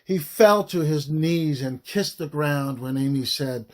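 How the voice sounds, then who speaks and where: A man reading a scripted audiobook narration in a deliberate way, phrase by phrase with frequent pauses. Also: a little high. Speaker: author reading own audiobook.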